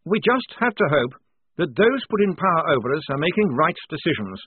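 A man's voice: a little high, big pitch swings, a little fast, slightly rough, dry recording, pitched above the performer's own voice. A man doing a flustered, stammering army lieutenant. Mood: hesitant. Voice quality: stammering, hesitant. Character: flustered, fussy, short-sighted young army lieutenant. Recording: dry tinny phone-like sound.

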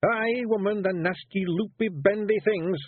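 A man's voice: high voice, unhurried, slightly rough, no echo, phone-quality sound, pitched above the performer's own voice. A man voicing a fierce, stubborn tiny pixie chief with a broad Scottish accent and a growling bluster. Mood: grumbling.

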